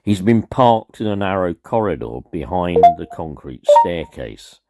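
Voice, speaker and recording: A man reading scripted dramatic narration as a narrator, in a grave and deliberate manner. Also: deep voice, sing-song, warm tone.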